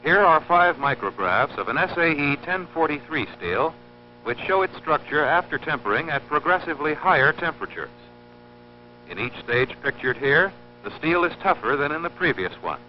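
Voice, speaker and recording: A man narrating an instructional film in a formal, scripted, measured and precise teaching manner. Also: on the high side, sing-song, a little hiss or hum. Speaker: narrator of an industrial training film. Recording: dull lo-fi old film soundtrack, humming.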